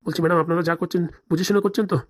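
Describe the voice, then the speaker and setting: A man's voice: high voice, fairly even intonation, racing, no room sound, clean studio sound, lightly acted. A man voicing timid, fretful police officers in a comedy cartoon, hesitant, stammering and deferential. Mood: nervous, deferential. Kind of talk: comic cartoon dialogue.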